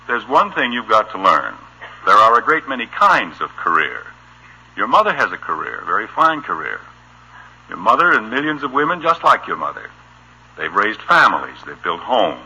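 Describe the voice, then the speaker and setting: Low, rough-edged American radio dad. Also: male voice, very animated, moderate pace, heavily acted, poor audio. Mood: gently earnest. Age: middle-aged. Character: exasperated but tender middle-aged family father. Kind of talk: 1950s American radio sitcom acting. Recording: bright, rough old radio recording.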